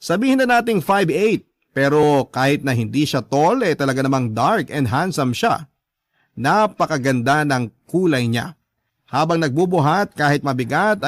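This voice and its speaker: A man's voice: a little high, no echo. A man with a Filipino accent giving scripted first-person narration for a radio drama.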